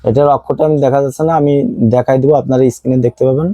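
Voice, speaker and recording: A man's voice: medium pitch, steady tone, rapid-fire, no room sound, highs cut off. A man with a Bangladeshi accent giving a casual phone unboxing review as a seller, explaining things and repeating his points.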